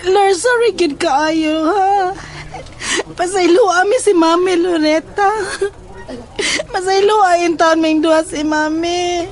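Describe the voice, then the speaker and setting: Casting: actress as remorseful schoolgirl, extremely high voice, slow pleading delivery, Cebuano accent, horror audio drama. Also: steady tone. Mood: tearful pleading.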